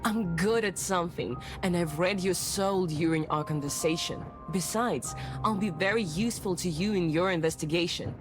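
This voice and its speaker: An actress plays a mysterious fortune-teller in a detective visual novel. She is self-assured, coaxing and teasing, with a lowish female voice over prominent music. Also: moderate pace, few pauses, close to an everyday voice. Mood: coaxing, confident.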